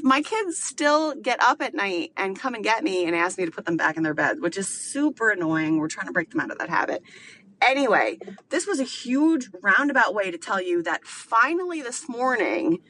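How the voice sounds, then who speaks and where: Bright-voiced woman rambling candidly. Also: fairly low pitch, slight background noise. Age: late 30s. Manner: rambling, candid, digressive, heavy on filler words. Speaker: podcast host. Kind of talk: casual solo monologue.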